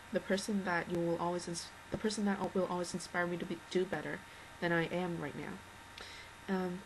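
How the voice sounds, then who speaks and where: Earnest young woman with a low voice. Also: a little hiss or hum, low-quality recording. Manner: rambling, earnest, many restarts and fillers. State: slightly worn-out voice. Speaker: fan addressing a singer. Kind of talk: unscripted video message.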